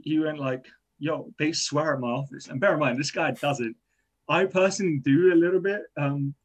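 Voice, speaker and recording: A young man whose first language is Persian, an engineering student talking casually in a rambling, self-deprecating way with lots of fillers. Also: medium pitch. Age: young adult. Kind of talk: casual podcast chat. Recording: dull lo-fi sound.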